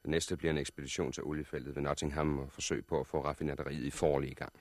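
An actor in a 1960s radio drama playing a confident, courteous helicopter pilot who explains things matter-of-factly as a messenger from a survivors' colony. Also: male voice, very deep voice, little pitch movement, dry recording. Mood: courteous, businesslike.

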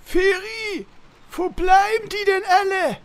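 A man's voice: very high-pitched, steady tone, slow, over loud music. A man voicing a bossy, fussy uncle who leads a guild, grumbling and reproachful in a cartoonish parody radio play. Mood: impatient.

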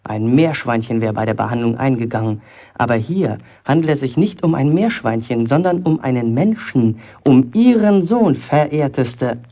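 A defiant young bricklayer's apprentice holds forth in a radio play. His voice is soft-edged and mellow, with a gravelly creak. He argues like a self-appointed prosecutor, pompous and sarcastic, piling up rhetorical questions. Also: medium pitch, animated, normal speed. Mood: sarcastic, accusing. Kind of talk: German radio play acting.

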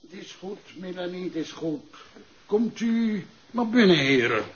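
A man playing an indignant, evasive gentleman suspect in a crime drama, formal and defensive. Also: high-pitched, animated, unhurried, highs cut off, a little hiss or hum. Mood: guarded, polite. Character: indignant, evasive, wealthy male suspect with political friends. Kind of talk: Flemish television crime drama acting.

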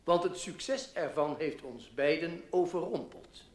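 An actor as pompous speechmaker in an echoey hall. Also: male voice, on the high side, close to an everyday voice. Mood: self-satisfied. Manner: pompous, florid, measured oratory. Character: pompous literary newspaper writer giving a public speech. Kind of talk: formal speech in a radio play. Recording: echoey hall, public address.

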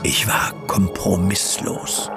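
An actor in a radio play as a weary, self-absorbed middle-aged revolutionary, muttering haltingly and drifting into whispers. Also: male voice, deep voice, steady tone. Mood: defiant reminiscing. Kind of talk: radio play acting, interior monologue. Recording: loud music under the voice.

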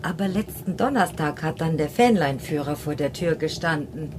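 Worried mother, lively low-pitched voice. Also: normal speed, background noise. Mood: defensive, worried. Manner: questioning, reproachful. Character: worried, reproachful middle-aged German mother of grown son. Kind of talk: German radio play acting.

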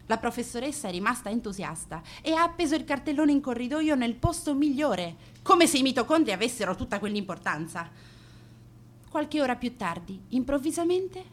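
A woman's voice: medium pitch, fairly bright tone, lightly acted. An actress playing a proud, manipulative teenage schoolgirl in a radio play monologue, sardonic, self-justifying and defiant.

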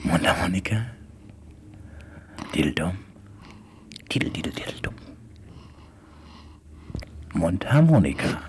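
A man's voice: low-pitched, sing-song, slow pace, long pauses, in a whisper. A man talking playfully to a small child, with repeated coaxing questions and nonsense words.

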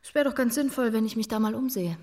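A woman's voice: fairly high pitch, quick pace, a little mellow, lightly acted. An actress playing a determined, headstrong young female reporter in a German radio drama, persistent and defiant. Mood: persuasive.

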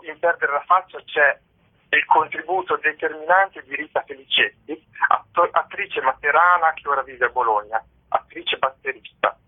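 A man being interviewed about theatre work over the phone, explaining in a thoughtful, somewhat hesitant way. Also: on the high side. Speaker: interviewed theatre company co-founder and artist. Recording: narrow tinny phone line.

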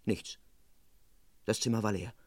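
An earnest, self-important constable, dry-sounding. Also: male voice, on the low side, fairly even intonation, measured pace, a little mellow, slightly rough, no room sound. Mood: tense, earnest narrating. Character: indignant, self-important police constable narrating his own adventure. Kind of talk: old German radio crime play, first-person narration and dialogue.

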